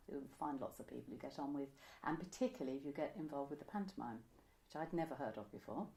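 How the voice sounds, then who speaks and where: A woman's voice: sits low, a little mellow, low-quality recording. A woman, reminiscing conversationally as an interviewee. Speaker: interviewee, pantomime costume maker. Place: indoors, room by a window.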